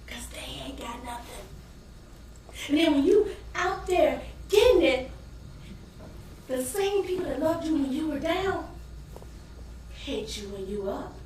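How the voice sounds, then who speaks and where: A weary, embittered wife and mother as a woman acts her out on stage pours out a dramatic monologue. Her pitch swings widely and she takes her time, with heavy pauses. The sound is distant and heavily echoing, as in a theater. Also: animated, a little mellow. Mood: bitter, knowing. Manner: emotive, dramatic, with long pauses and sudden swells. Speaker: stage actor. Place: indoor stage performance space. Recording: roomy echoing theater from afar, faint noise.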